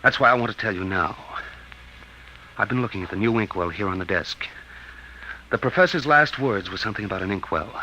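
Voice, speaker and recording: An actor playing a sombre, resolute man dictating a farewell report in a 1950s radio drama, deliberate and confiding. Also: lowish voice, bright, forceful tone. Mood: grave, resolute. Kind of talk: 1950s radio drama acting, first-person narration. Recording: noisy old radio broadcast recording.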